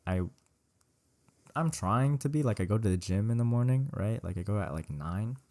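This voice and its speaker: A man's voice: on the low side, animated, moderate pace, a little mellow. A young man from California, chatting casually on his own show, rambling and correcting himself, swearing a lot and saying "bro" over and over. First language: Vietnamese. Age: young adult. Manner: slangy and profane, says "bro" a lot. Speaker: solo podcast host. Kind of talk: casual solo Q&A chat.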